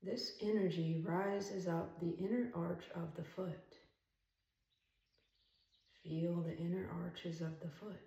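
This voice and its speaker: A woman leading a guided meditation as an instructor, speaking calmly and soothingly in measured phrases with long pauses. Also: fairly low pitch, lo-fi sound. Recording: roomy echo, distant from mic.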